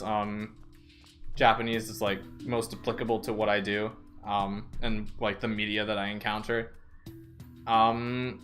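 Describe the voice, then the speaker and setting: A man's voice: mid-range voice, fairly bright tone. Rambling young man streaming a game. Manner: rambling, reads game text aloud, stumbles over names. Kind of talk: casual let's-play chat.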